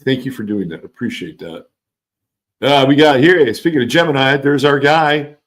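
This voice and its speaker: A man's voice: mid-range voice, expressive, moderate pace. A man with a mixed California and East Coast accent hosting a casual livestream, chatting loosely with viewers and reading their comments. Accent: California and East Coast mix. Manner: loose and rambling, trails off, restarts, swears casually. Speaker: livestream host.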